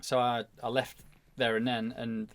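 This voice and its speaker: A man's voice: mid-range voice, little pitch movement, a little slow. A man speaking as a podcast guest and motorcycle racer, telling anecdotes in a casual, informal way.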